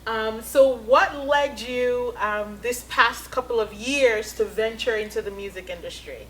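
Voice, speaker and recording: Slow, encouraging woman host. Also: a little high, a bit bright, a little hiss or hum, poor audio. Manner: slow, encouraging, many affirmations, laughs along. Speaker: interview host. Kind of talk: casual interview.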